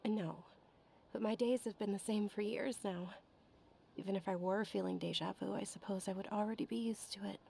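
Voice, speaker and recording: An actress voicing a frail, sickly young noblewoman who speaks softly and with quiet resignation. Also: medium pitch, steady tone, brisk, creaky, breathy edge. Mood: wistful. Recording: game audio with music behind.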